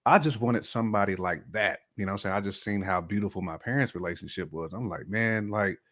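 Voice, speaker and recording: A man's voice: on the low side, fast, a bit bright. A man talking casually as a podcast guest, in a colloquial, slangy way with frequent check-in phrases. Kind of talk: casual chat, telling personal views.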